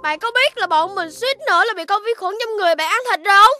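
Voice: extremely high pitch, fast talker. A performer voicing a curious, cheeky young puppy in a children's cartoon. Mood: earnest. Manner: childlike, questioning. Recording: music under the voice.

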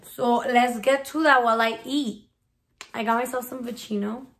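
A woman's voice: fairly high pitch, steady tone, normal speed, a bit bright. A woman of twenty chatting casually in a bedroom Q&A vlog, slangy and mixing in Spanish words, recorded on a phone. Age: 20s. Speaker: vlogger. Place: small bedroom. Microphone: phone camera at arm's length.

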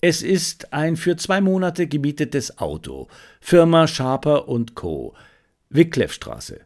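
A man's voice: on the high side, very animated, slow pace, heavily acted. A man voicing a cunning fifteen-year-old Berlin street boy in an audiobook, reporting eagerly in clipped phrases. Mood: brisk, proud. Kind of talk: audiobook character reading.

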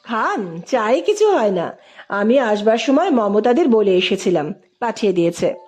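A woman's voice: fairly high pitch, sing-song, moderate pace, darkish tone. A woman voicing a polite male college professor in a story, mild and teasing, with an air of feigned innocence. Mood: amused.

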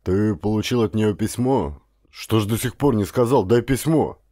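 Man acting a hearty, proud father, lowish voice. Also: moderate pace, mellow, dry recording, studio quality, natural voice. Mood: excited, demanding. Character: proud, hearty middle-aged father talking to grown son. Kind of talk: audiobook character dialogue.

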